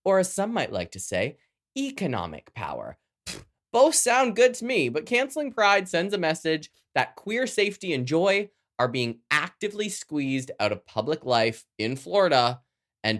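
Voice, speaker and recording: Podcast news host, Canadian accent, sassy and playful, highly animated intonation, scripted read with chatty asides. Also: moderate pace.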